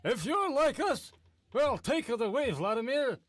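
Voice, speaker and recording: A man playing a cheerful vampire pitchman in a comedy mock infomercial, salesmanlike and theatrical. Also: very animated, a little slow, no echo, exaggerated voice. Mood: cheery selling. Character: male vampire pitchman, cheerfully selling to fellow undead.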